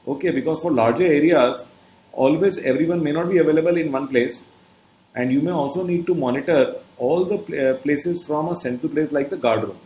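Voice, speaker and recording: A man's voice: fairly high pitch, fairly quick, warm tone. A man with an Indian accent giving an instructional lecture, explaining step by step in a didactic way. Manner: didactic, often checking in with "okay". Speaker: trainer. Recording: roomy echo, faint background noise.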